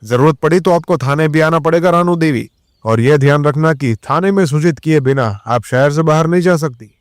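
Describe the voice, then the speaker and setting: A man's voice: fairly high pitch, moderate pace, no echo, close to an everyday voice. Man as a stern police inspector, dry sound. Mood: stern, probing. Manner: firm, formal, authoritative. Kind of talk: audio drama acting.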